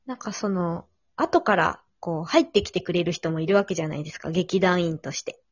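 A woman's voice: lowish voice, animated, fairly quick, husky, no room sound. A woman hosting a casual conversation, offering advice in polite, tentative, reflective phrasing. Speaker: podcast host. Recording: slightly dull lo-fi audio.

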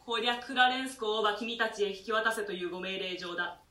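A man's voice: high voice, pushed and bright, roomy sound, recorded from a distance. A man playing a polite, deferential jailer who speaks in formal, servile language. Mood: wary. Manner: formal servile speech, measured.

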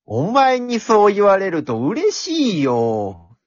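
Man voicing a high, animated cheeky pencil pal. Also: slow, no room sound, highs cut off, heavily acted. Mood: cheeky, teasing. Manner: rough colloquial speech, cocky, encouraging. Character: brash, teasing talking pencil, cheeky encouraging male buddy. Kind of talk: amateur radio drama acting.

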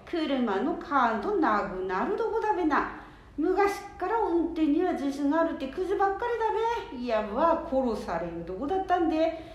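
A woman playing a hasty, excitable old grandma who scolds in a Nanbu dialect of northern Japan in an amateur radio drama. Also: high voice, moderate pace, highs cut off. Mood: scolding. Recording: echoey room.

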